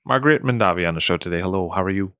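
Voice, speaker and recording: A man hosting a podcast, interviewing a guest in a brisk, friendly way. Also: low voice, fairly bright tone, soft background music. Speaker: podcast host.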